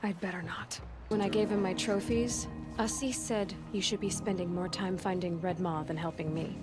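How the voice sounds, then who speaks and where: An actress playing a tough, self-assured young huntress in a video game, speaking in a dry, sardonic way. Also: female voice, fairly low pitch, steady tone, moderate pace, over loud music, close to an everyday voice. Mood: wry. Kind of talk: cinematic game dialogue.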